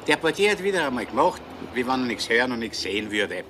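An actor playing a genial, talkative middle-aged police inspector with a Viennese accent in a radio crime drama. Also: male voice, medium pitch, fast. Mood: chatty. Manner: chatty, easygoing, lively. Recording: hissy old radio recording.

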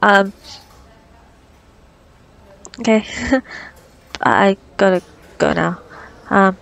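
A woman's voice: medium pitch, extremely slow, creaky, a bit bright, full character voice. A woman playing an anxious, socially awkward young woman with a crush in an ASMR roleplay, stammering and rambling nervously. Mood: flustered, giddy. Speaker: audio roleplay performer. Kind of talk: ASMR girlfriend roleplay acting.

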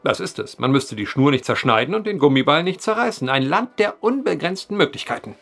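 An actor playing a wry, polite journalist who poses as a farmhand, speaking eloquently in a German audio drama. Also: male voice, a little high, sing-song, fairly quick, gravelly, fairly bright tone, soft background music, exaggerated voice. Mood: musing, wry. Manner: eloquent, dryly ironic, polite. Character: wry truth-seeking journalist posing as clumsy farmhand.

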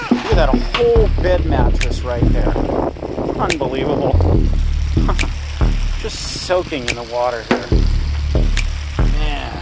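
A woman's voice: rumbling low, big pitch swings, slow pace, long pauses, low-quality recording. A woman narrating a casual outdoor vlog by a storm drain channel, exclaiming in disgust as she points things out. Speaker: urban explorer vlogger. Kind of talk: casual walk-and-talk narration. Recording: loud music over the voice.

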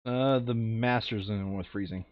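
A man's voice: on the low side, normal speed, no echo. Blunt joking man, dry dull-sounding mic. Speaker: player running a board game playthrough. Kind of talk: casual gaming chat with bits of read-aloud flavour text.

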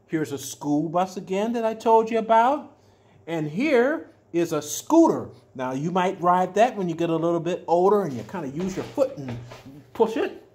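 An older man reading a children's picture book aloud as a storyteller, adding simple explanations with drawn-out emphatic words. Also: sits high, sing-song, darkish tone. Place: small furnished room at home, indoors.

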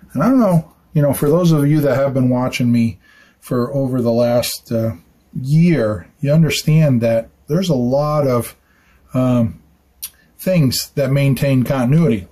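A man's voice: medium pitch, measured pace, dark tone. A man in his fifties, an architect, casually explaining a construction drawing in a chatty, informal way with lots of fillers and tag questions. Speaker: architect explaining building details. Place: small studio room with dark curtains.

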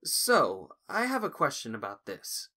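A man playing a bold, perceptive young male investigator in an animated fan audio drama, probing and theatrical. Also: mid-range voice, a little slow, exaggerated voice. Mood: inquisitive. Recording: loud music under the voice.

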